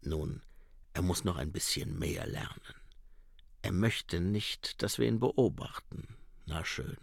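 A man reading an audiobook and voicing a gruff, weary middle-aged male watch commander with a low, grumbling, clipped delivery. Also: slow, no room sound, studio quality, exaggerated voice.

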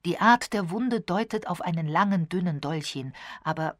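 A woman's voice: fairly low pitch, moderate pace, no room sound, clean studio sound, close to an everyday voice. A woman voicing a shaken male doctor in a storybook, grave and emphatic.

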